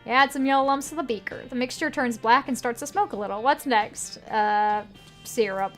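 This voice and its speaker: A woman's voice: on the high side, moderate pace, a bit bright, faint music behind. A woman with a put-on Southern US accent, casually commenting on a game and reading its text aloud. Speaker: game streamer.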